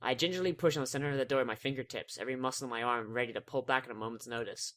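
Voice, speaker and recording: A man's voice: mid-range voice, racing, a bit bright. A man reading a visual novel aloud, cutting in with sarcastic, sweary jokes. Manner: sarcastic, swears freely, breaks off to joke. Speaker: let's player.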